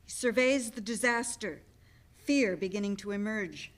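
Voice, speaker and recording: A woman's voice: medium pitch, measured pace. A woman hosting a play reading and reading out narration to a live audience. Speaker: event host and stage directions reader. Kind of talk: live event hosting and narrated stage directions.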